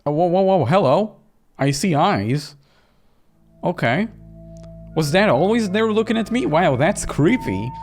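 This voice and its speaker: A man with a fairly high, very expressive voice gives live commentary on a game. He is reactive and animated, slipping between reading and his own remarks. Music plays behind him. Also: a little slow, long pauses, husky. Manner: reactive, animated, acts out dialogue while reading. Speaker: gaming video host.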